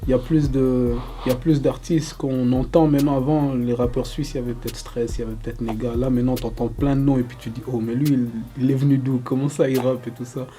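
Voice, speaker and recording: A young man from Congo answering interview questions casually, loose and relaxed, with lots of fillers. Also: mid-range voice, fast, hardly any pauses. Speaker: rapper interviewee.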